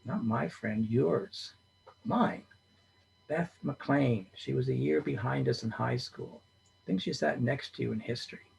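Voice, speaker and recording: A man's voice: medium pitch, a little slow, vocal fry, a little mellow, breathy edge, heavily acted, low-quality recording. A man reading as a blunt, wisecracking old man in his sixties, a school friend who teases dryly. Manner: dry teasing jokes.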